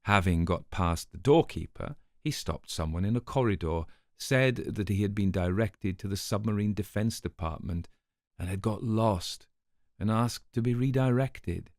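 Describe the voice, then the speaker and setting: A man's voice: on the low side, normal speed, no room sound, close to an everyday voice. An actor playing an urbane, sardonic older man telling a story in a radio drama, with dry irony and precise wording. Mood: dry amusement.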